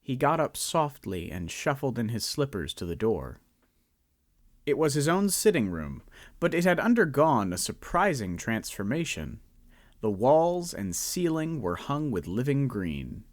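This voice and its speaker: A man narrating a student radio drama, savoring each phrase and slowing down on lists. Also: medium pitch, big pitch swings, no room sound, clean studio sound. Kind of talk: scripted story narration.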